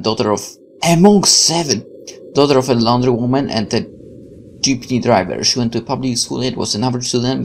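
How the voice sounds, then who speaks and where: A man in his twenties reading game text aloud with excitable, chatty asides and some stumbles. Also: mid-range voice, vocal fry. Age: 20s. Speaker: gaming video host. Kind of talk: game let's play, reading aloud and reacting. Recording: faint background noise.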